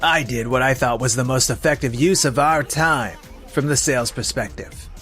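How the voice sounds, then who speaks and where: A man's voice: medium pitch, normal speed, fairly bright tone, natural voice. Defensive salesman, acted by a man, music behind. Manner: defensive, excuse-making. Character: evasive young salesman making excuses to his boss. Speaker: sales representative character. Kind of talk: scripted manga dub voice acting.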